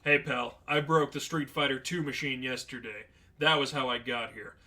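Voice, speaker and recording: Man as a sardonic, bright-voiced demon hunter. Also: medium pitch, moderate pace, close to an everyday voice. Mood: unamused, sardonic. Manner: matter-of-fact, deadpan, wordy and flippant. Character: sardonic, unflappable veteran male demon hunter. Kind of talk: dramatized fan fiction reading.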